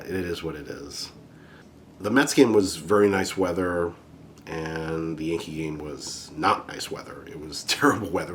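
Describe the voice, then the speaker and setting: Low-voiced man with a cold. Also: slight background noise. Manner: relaxed, rambling, with frequent fillers and restarts. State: has a cold. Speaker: photography channel host. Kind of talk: casual monthly photo recap.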